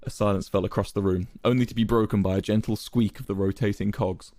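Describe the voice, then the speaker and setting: A man's voice: on the low side, steady tone, fast talker, darkish tone, dry recording, clean studio sound. A man narrating a scripted story in a dramatic style.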